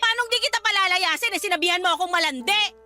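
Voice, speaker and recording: A woman plays a harsh mother in a Filipino radio drama. Her voice is very high and sharp, with music underneath. She speaks in cutting accusations, full of scorn. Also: fast, heavily acted. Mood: scornful, angry. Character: harsh, scornful, money-minded mother berating her grown daughter.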